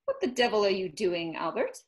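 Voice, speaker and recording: A woman playing a cold, accusing government official who speaks in a measured, condescending, formal way, reading a script. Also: medium pitch, very animated, lightly acted. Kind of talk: script table read. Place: home, video call.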